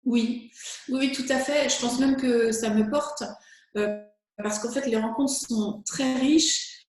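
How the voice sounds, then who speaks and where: A woman's voice: a little high, steady tone, slow pace, a little mellow, soft background music. A French woman working as a speaking coach, answering interview questions with enthusiasm and occasional fillers. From France. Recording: very echoey room.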